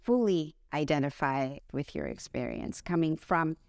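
A woman from the United States answering as a podcast guest, in a measured, explanatory way. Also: deep voice, darkish tone, dry recording, studio quality. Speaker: podcast guest, communication consultant. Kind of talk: interview answer.

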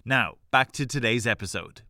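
A man's voice: mid-range voice, normal speed, bright, forceful tone, no room sound, studio quality. A man reading a scripted promotional announcement for a podcast.

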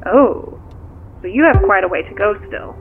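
A woman's voice: mid-range voice, lively intonation, moderate pace. A level-headed female confidante speaks over a thin, phone-like line. She is inquisitive and conversational, feeling her way through sentences in naturalistic audio drama style, with music underneath. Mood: friendly, probing. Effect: phone call filter.

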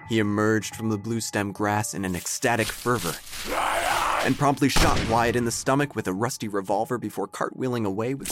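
Male actor as wry obituary narrator for comic audio drama: mid-pitched, formal, theatrical deadpan, music underneath. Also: lightly acted. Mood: deadpan, solemn. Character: wry, nosy small-town obituary narrator and interviewer.

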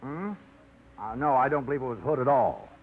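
A man's voice: medium pitch, animated, fairly quick, husky. An actor playing a hesitant, fussy man who stammers, in a 1950s radio drama. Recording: tinny, hissy 1950s radio broadcast.

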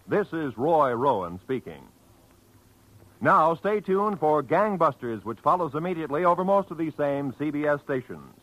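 Higher-pitched American male radio announcer. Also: very animated, normal speed, a bit bright, low-quality recording. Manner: polished, formal delivery. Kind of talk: 1940s network radio announcing.

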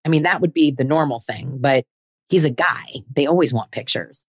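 Candid, low-voiced woman in her forties. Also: moderate pace, breathy edge, no room sound, clean studio sound. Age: 40s. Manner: candid, self-deprecating, chatty. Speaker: podcast host. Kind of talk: scripted personal narration.

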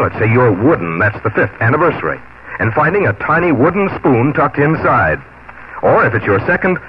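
An American man announcing and pitching products in a polished, scripted 1940s radio style. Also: slight background noise. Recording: muffled 1940s radio broadcast, music behind.

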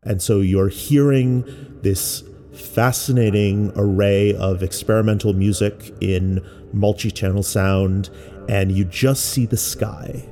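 An American man explaining creative work in a measured, reflective narration, as a sound artist presenting their own work. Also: lowish voice, steady tone, dark tone. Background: music.

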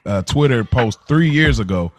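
A man co-hosting a podcast in casual chat, reacting in an animated, slangy way. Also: mid-range voice, normal speed. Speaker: podcast co-host.

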